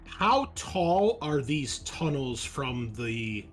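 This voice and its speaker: A man's voice: medium pitch, big pitch swings, extremely slow, faint music behind. A man chatting casually as a player in a tabletop roleplaying game.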